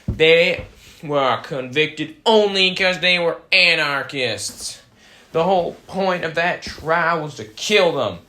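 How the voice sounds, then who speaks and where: A man's voice: fairly high pitch, animated, takes it slowly, sharp tone, exaggerated voice. A man playing an indignant, hot-tempered anarchist being interviewed in an amateur mock 1920s radio show, ranting emphatically. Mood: angry ranting.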